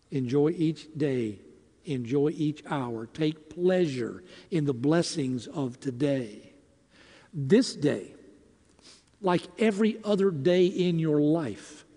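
Slow, deliberate male preacher in reverberant hall. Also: a little high, warm tone. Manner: deliberate, emphatic short phrases with dramatic pauses. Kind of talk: sermon with emphatic rhetorical questions and scripture.

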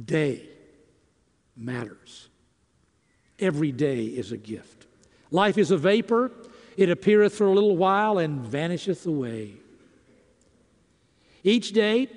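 A man preaching in a deliberate, emphatic way, breaking his lines into short phrases with dramatic pauses. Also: high voice, darkish tone. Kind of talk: sermon with emphatic rhetorical questions and scripture. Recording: long reverberant hall.

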